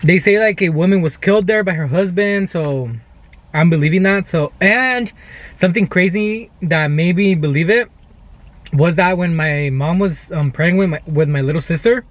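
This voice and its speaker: A man's voice: sits high, normal speed, no echo. A young man talking casually to viewers as a vlog co-host, telling stories in a conversational way. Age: young adult. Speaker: vlogger, channel co-host. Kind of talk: casual chatty storytelling vlog.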